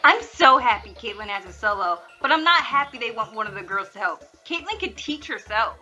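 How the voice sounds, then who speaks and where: A woman's voice: fairly high pitch, animated, brisk, sharp tone, roomy sound, highs cut off, faint music behind. A woman acting a proud, opinionated dance mom in a voiced roleplay series. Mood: proud, insistent.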